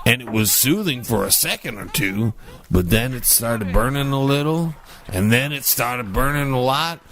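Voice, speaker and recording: A man's voice: mid-range voice, expressive, moderate pace, a bit bright. A man playing a crude, grumpy older uncle in an audio comedy sketch, rambling on in a blunt, folksy manner.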